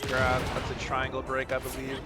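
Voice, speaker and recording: A man with an average-pitched voice talks through a game replay as a casual coach. He thinks aloud, informal and a little hesitant, often repeating phrases. Very loud game music and fighting sounds play behind him. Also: completely flat delivery, normal speed. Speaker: gaming video creator giving match analysis. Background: loud fighting game music and combat effects.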